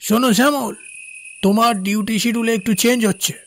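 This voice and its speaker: A man's voice: very high voice, steady tone, brisk, a little hiss or hum. A man with a West Bengal accent playing a firm, unyielding railway boss in a cartoon ghost story. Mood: firm, dismissive. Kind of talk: dramatized cartoon dialogue.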